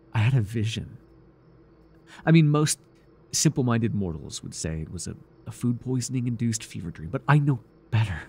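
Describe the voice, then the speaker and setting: Man performing a fawning male cult fanatic, low gravelly voice, theatrical halting delivery, ASMR roleplay, faint background noise. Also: a little mellow. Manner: theatrical, breathy sighs, nervous chuckles, halting. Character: devoted, obsessive male cult follower, giddy and fawning. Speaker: voice actor.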